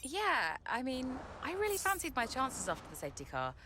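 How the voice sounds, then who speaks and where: An actress playing a young, down-to-earth racing driver giving measured, slightly hesitant post-race interview answers in a video game. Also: female voice, high-pitched, wildly swooping pitch, sharp tone, slight background noise, exaggerated voice. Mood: pleased, confident.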